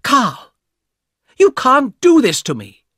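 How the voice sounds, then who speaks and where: A frightened young woman, as a male narrator puts her on, pleading with her brother in a high, strained voice that keeps rising. She sounds close to tears in a calm storybook reading. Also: a little slow, breathy edge, no echo, exaggerated voice.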